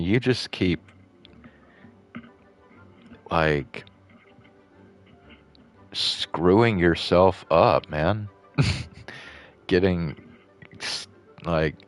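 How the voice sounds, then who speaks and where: A laid-back man chatting casually while streaming a game, slangy and chuckling. His voice is very low and he takes his time with words. Faint game music plays behind his desk mic. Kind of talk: casual live-stream reacting.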